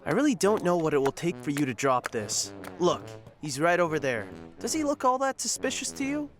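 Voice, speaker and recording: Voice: mid-range voice, very animated, a little fast, music in the background, lightly acted. An actor playing an awkward, hesitant, good-natured young adventurer in an audio drama. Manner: awkward, unassuming.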